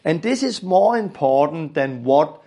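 A man's voice: fairly high pitch, expressive, measured pace, warm tone, husky. A man from Denmark with a Danish accent, teaching a religious lesson earnestly and repeating phrases for emphasis.

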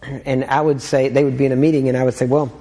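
A man's voice: mid-range voice, flat delivery, quick pace, dark tone, big-room sound, low-quality recording. A man with a Texan accent preaching in a casual, folksy teaching style, full of joking asides and checks like "all right?". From Texas.

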